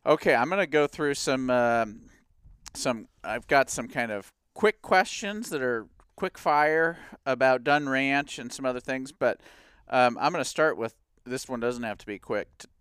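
Very clear, dry-voiced male podcast host. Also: medium pitch, a bit bright, no echo. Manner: friendly, many fillers and restarts, quick acknowledgments. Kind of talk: podcast interview.

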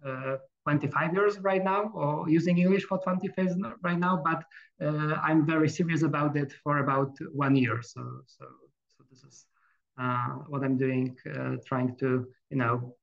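Polish-accented man, middle-aged, gravelly, flat delivery, dull lo-fi sound. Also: mid-range voice. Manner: hesitant, many fillers and restarts. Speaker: guest. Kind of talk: casual interview.

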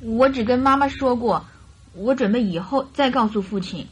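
High-voiced earnest young bride-to-be played by a woman. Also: normal speed, a little hiss or hum, natural voice. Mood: shy, earnest. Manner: hesitant, chatty, naive storytelling. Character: earnest young bride-to-be recounting her missing fiancé. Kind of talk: radio drama acting.

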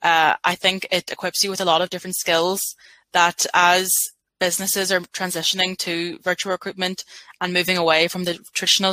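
A young woman talks through her experience as a webinar panelist, composed and measured. Her voice is bright and crisp but stays level in pitch. The sound is dry with no room echo. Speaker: panelist, recent law graduate.

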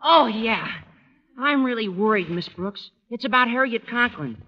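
An actor playing a lovesick, overwrought teenage high school boy with a plaintive delivery in a vintage radio sitcom. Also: lively intonation, moderate pace. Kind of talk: 1940s-50s radio sitcom acting. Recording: muffled, hissy old radio, studio audience.

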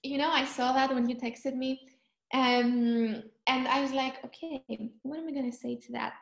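A young woman from Cyprus, chatting in an interview and often pausing to search for words. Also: high voice, fairly even intonation, creaky, echoey room. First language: Greek. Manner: thoughtful, searching for words, frequent ums. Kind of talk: casual chat.

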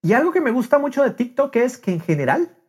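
A man's voice: very high voice, big pitch swings, fast talker, husky. A middle-aged man in casual podcast conversation, animatedly acting out quotes and dropping English phrases into his talk. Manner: mixes English phrases into speech.

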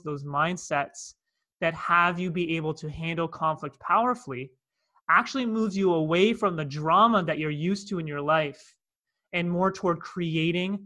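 A man's voice: sits high, bright, forceful tone. A man with a Canadian accent, a coach telling a personal story in a podcast conversation, earnest, with many asides. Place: in Cape Town, South Africa.

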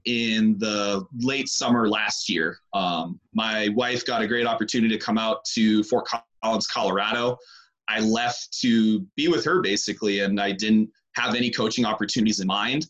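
A man, a strength coach talking through his career in a casual interview, easygoing and full of pauses. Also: lowish voice, fairly bright tone, highs cut off. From British Columbia, Canada. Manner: easygoing, unhurried, lots of pauses. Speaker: strength and conditioning coach, guest.

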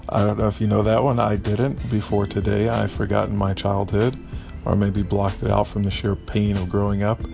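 A man talks loosely in a casual podcast ramble, his voice a little raspy and gravelly with fry at the bottom. He mimics stiff phrases by jumping up in pitch. Faint music plays underneath. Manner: animated, mimics voices with high pitch jumps. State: slightly raspy from singing. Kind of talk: casual spoken daily podcast. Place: lives abroad in Vietnam.

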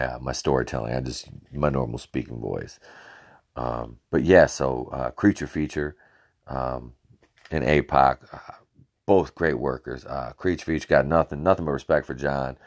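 A man hosting a podcast, telling rambling, swear-filled stories about his time as a ring announcer, with lots of fillers and restarts, recorded on a cheap home microphone. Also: vocal fry. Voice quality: very deep voice. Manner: laughs at his own stories. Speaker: podcast host and former wrestling ring announcer. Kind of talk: casual podcast storytelling.

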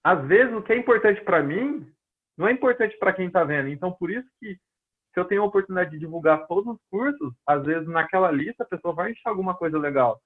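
A man's voice: sits high, expressive, fast talker, breathy edge. A man from Brazil with a Brazilian Portuguese accent, a personal trainer telling stories from his work in a casual interview and acting out the dialogue he quotes. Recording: tinny phone-line audio.

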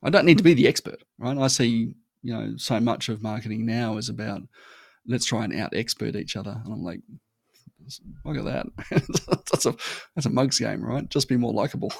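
A man's voice: medium pitch, moderate pace, gravelly, slightly rough, dry recording, clean studio sound. A man talking casually as an interview guest, emphatic, often checking in with a short "right?" after his points. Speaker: podcast guest. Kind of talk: casual conversational interview talk.

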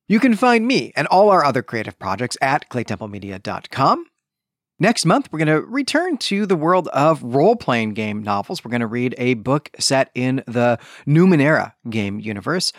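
Man with very lively, swooping intonation. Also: mid-range voice, normal speed, dry recording, clean studio sound. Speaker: podcast host and book reviewer. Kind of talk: podcast book review, reading passages and commenting.

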